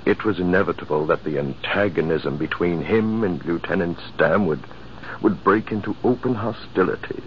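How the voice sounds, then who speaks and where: A man narrating a 1940s radio drama in a measured, reflective way, on a dull, hissy old recording. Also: sits low. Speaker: narrator and officer character.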